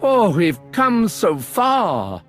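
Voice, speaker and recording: Eager bookish male scholar, very animated. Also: sits high, very slow, soft background music, exaggerated voice. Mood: curious, eager. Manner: wordy, precise, fussy. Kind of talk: video game cutscene acting.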